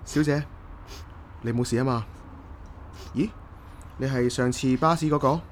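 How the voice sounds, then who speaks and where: Gentle, reassuring man acted, faint ambience. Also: medium pitch, a little slow, a little hiss or hum, natural voice. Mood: concerned, comforting. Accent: Hong Kong Cantonese. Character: kind, calm, reassuring male bus acquaintance. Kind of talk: Cantonese radio drama acting.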